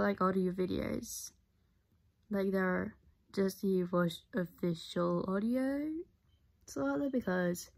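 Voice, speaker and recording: A young woman talks straight to camera from her bedroom, her voice sitting low. She rambles earnestly and circles back over her own points, recorded up close on a device. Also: gravelly, darkish tone. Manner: rambling, repeats herself, earnest. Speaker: vlogger. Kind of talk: casual talk to camera. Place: bedroom, indoors. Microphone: device camera at close range.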